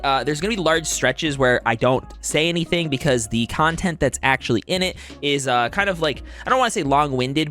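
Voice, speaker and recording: Man, gaming youtuber, casual rambling commentary, fillers and restarts, slightly sick voice, mid pitch. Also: a bit bright. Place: home, storm outside.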